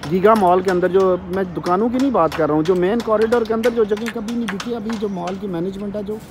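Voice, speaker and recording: A man giving a persuasive walking tour of a construction site and a mall, pitching property to investors. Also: fast, background noise. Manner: salesy, persuasive. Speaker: real estate marketer. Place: outdoors at a construction site, then inside a shopping mall.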